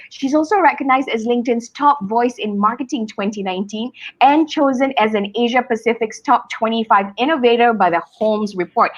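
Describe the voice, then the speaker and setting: A woman hosting a live online interview, chatty and lively, laughing often. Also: medium pitch. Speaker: host.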